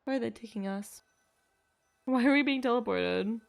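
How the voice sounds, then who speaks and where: A woman's voice: a little high, moderate pace, gravelly, faint music behind. A woman game streamer giving casual live commentary, reacting aloud to what happens in the game.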